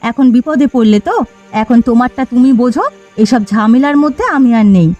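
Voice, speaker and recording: A high-pitched, nagging village woman played by a woman in a cartoon fairy tale. She scolds angrily, with faint music underneath. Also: moderate pace, dark tone, dull audio, close to an everyday voice. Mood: scolding, angry. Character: scolding, angry village woman, shopkeeper's accomplice.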